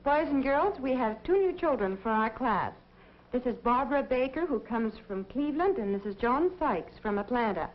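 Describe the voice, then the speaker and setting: A high, patient American schoolteacher acted by a woman addresses pupils in clear, instructive tones. It has the style of a 1950s educational film, with the muffled sound of an old soundtrack. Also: expressive, moderate pace, natural voice. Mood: kindly, organizing. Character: dedicated, patient woman elementary school teacher. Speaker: actor playing a schoolteacher. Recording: dull vintage film soundtrack.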